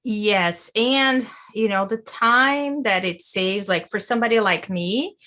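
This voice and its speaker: A woman's voice: mid-range voice, slow. A woman hosting an interview show, talking in an eager, chatty way with some loose grammar. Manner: warm enthusiastic, loose grammar with restarts. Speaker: podcast host. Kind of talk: casual interview.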